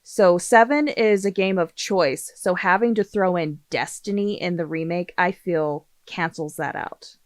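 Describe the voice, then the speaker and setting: A woman's voice: lowish voice, unhurried, hardly any pauses, no echo. A woman hosting a casual podcast discussion, speaking in an opinionated, emphatic way and swearing freely. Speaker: podcast host.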